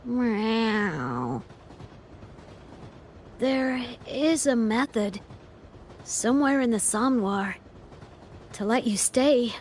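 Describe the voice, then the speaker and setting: Slow, solemn mystical cat guide. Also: female voice, on the high side, expressive, darkish tone, a little hiss or hum. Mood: gentle, solemn. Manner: measured, unhurried delivery. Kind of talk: video game voice acting.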